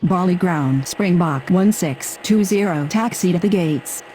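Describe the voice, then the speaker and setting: A woman voicing a calm, formal air traffic radio operator in a game, using clipped phraseology. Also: lowish voice, measured pace, lightly acted, poor audio.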